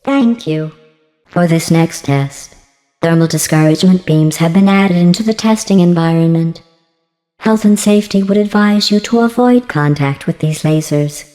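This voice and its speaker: A woman voicing a passive-aggressive female artificial intelligence in a video game, deadpan and mock-sweet, with synthetic robotic processing. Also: on the low side, normal speed, long reverb tail, faint music behind. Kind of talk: scripted video game dialogue.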